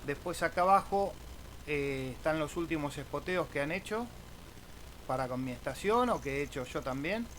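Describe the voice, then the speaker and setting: A man's voice: a little high, expressive, moderate pace, a bit bright, low-quality recording. A man giving an informal, casual screen-share tutorial as an amateur radio YouTuber, often tagging phrases with a questioning "eh?". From Argentina. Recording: clear background noise.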